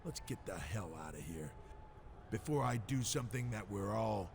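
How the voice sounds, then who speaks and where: A man's voice: lowish voice, lively intonation, moderate pace, gravelly. A man playing a cocky, hot-tempered pro wrestler in a video game, blunt and confrontational. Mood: angry, threatening. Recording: noisy game audio.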